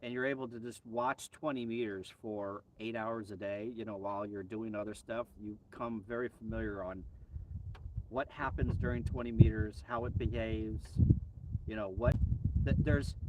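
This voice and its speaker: Male American streamer, mid-pitched, casual and conversational, recorded slightly far from the mic. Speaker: live stream host and ham radio operator. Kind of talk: casual live stream chat. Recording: distant mic, faint background noise.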